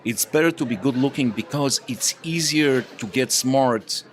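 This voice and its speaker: A man's voice: mid-range voice, unhurried, a little hiss or hum. Casual male podcast panelist, mid-range voice, reasons things through point by point.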